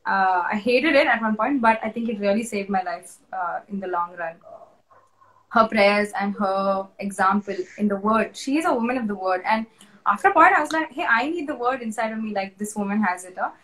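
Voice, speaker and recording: A woman's voice: medium pitch, fairly quick, poor audio. A young woman with an Indian English accent, an interview guest telling her personal testimony in an earnest, emotional and candid way. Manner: earnest, emotional, candid, with restarts and soft laughs.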